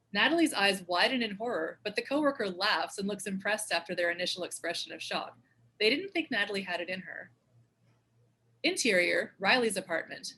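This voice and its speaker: Bright-voiced woman narrating stage directions. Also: medium pitch, slight background noise. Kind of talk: scripted narration.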